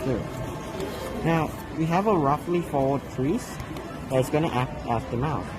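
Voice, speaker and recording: A man's voice: fairly high pitch, unhurried, rough recording. A young man casually talking through a craft demonstration step by step. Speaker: tutorial presenter. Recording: loud music over the voice. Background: loud music.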